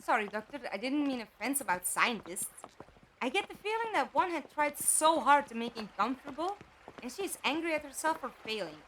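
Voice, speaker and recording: A woman acting in an audio drama as a practical, inquisitive captive who talks in a direct, conversational, gently wry way. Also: high-pitched, sing-song, brisk, a little hiss or hum, rough recording. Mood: thoughtful, apologetic.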